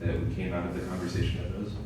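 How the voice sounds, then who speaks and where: Man, sick, deep-voiced facilitator, far off in a booming hall with a crowd. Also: completely flat delivery, racing, heavy background noise. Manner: informal, joking, many fillers. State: sick, losing his voice. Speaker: meeting facilitator. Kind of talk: facilitating a public meeting. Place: large meeting room. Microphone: handheld mic from afar. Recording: echoey hall, distant mic, crowd.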